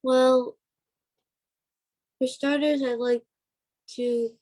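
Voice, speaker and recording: Slow, hesitant female voice, soft-toned. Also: high-pitched, little pitch movement. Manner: hesitant, reserved. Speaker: stage actress, interview guest. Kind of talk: brief interview answers.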